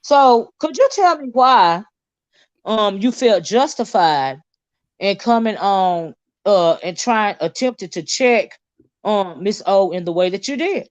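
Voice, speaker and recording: A woman hosting a casual livestream with sassy, animated, teasing talk and frequent laughter. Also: mid-range voice, slow, dry recording, highs cut off. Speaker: channel host.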